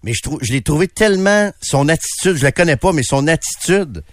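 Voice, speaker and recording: A man's voice: on the high side, animated, a little fast, no echo, studio quality. A man with a Québécois accent co-hosting a radio talk show, opinionated and indignant, often restarting his sentences. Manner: emphatic, indignant, many restarts. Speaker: radio co-host. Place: radio studio.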